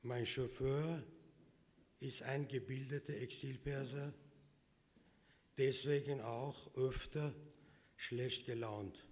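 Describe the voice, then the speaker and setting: Man, Persian native speaker, prepared conference speech, slow measured pace, long hall reverb. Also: medium pitch, fairly even intonation, a little mellow. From Iran. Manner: slow, measured delivery with pauses between phrases.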